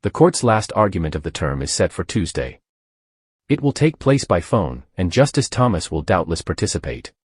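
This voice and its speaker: Deep husky male news narrator. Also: very animated, moderate pace, no room sound, clean studio sound. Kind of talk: scripted news narration reading an article.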